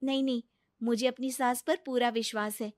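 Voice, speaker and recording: A woman's voice: a little high, steady tone, normal speed, no room sound, studio quality, voice pushed up, natural voice. Woman doing a polite young daughter-in-law. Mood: earnest. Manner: polite, soft, earnest.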